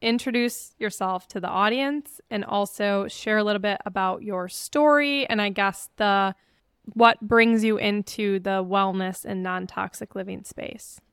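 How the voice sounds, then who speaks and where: A woman's voice: mid-range voice, unhurried, a bit bright, clean tone, no room sound, studio quality. A woman hosting a podcast in a casual, conversational interview style, effusive and encouraging. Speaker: podcast host.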